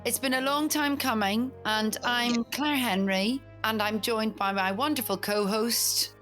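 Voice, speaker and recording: A woman's voice: fairly high pitch, unhurried, a bit bright, faint music behind. Chatty Northern Irish woman in her forties. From Northern Ireland. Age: 40s. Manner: chatty, enthusiastic, rambling. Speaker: podcast co-host. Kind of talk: casual podcast chat.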